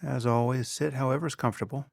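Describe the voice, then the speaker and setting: Gravelly man guiding a meditation. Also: medium pitch, steady tone. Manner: measured, instructional, with long pauses. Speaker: meditation teacher. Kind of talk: guided meditation.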